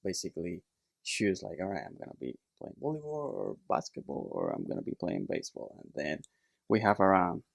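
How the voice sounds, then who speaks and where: A man with a Puerto Rican accent talks through his background in an easygoing, conversational way. His voice sits low and gravelly, full of casual fillers. The recording is close and dry with no room sound. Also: brisk, no room sound. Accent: Puerto Rican Spanish. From Puerto Rico. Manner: relaxed, plain storytelling with fillers. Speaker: chiropractor guest. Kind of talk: casual interview storytelling.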